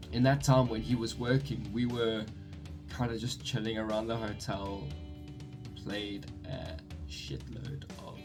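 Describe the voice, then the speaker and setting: Young south african guy rambling to his camera in his room, guitar music playing behind. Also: lowish voice. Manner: rambling, self-correcting, chatty. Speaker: vlogger. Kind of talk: casual talk to camera. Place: his bedroom.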